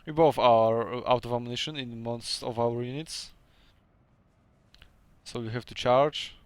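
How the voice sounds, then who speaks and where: A man's voice: medium pitch, steady tone, unhurried, clear, resonant tone, no echo. A young man with a Polish accent casually commentating his own strategy game match, chatty and laughing often, with hesitant second-language phrasing.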